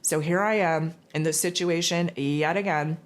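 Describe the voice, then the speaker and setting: Hoarse low-voiced woman. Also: steady tone, moderate pace. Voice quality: raspy. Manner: rambling, loose, with many fillers and restarts. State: hoarse from losing her voice. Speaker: spiritual forecast host. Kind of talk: casual spiritual monologue.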